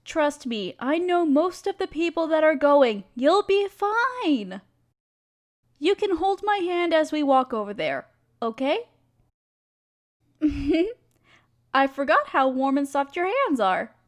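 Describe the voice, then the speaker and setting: Sweet high-voiced girlfriend, acted by a woman. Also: big pitch swings, takes it slowly, long pauses, heavily acted. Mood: reassuring, affectionate. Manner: sweet, soothing, tender. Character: affectionate, reassuring girlfriend bringing partner to meet family. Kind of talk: roleplay audio acting, addressing the listener as partner. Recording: noisy park and fireworks effects. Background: park sounds, fireworks.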